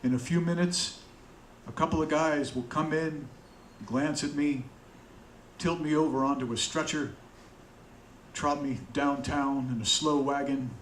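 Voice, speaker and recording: An actor playing a bitter murdered man narrating as a corpse in a radio drama, wry, brooding and deliberate. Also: fairly high pitch, fairly even intonation, a bit bright, slight background noise. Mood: resigned. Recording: echoey, music underneath.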